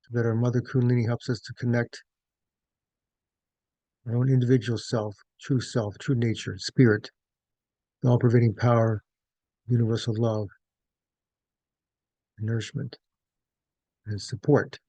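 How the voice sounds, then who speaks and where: A man leading a guided meditation as instructor, speaking in measured, gentle phrases with pauses. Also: medium pitch, flat delivery, dry recording.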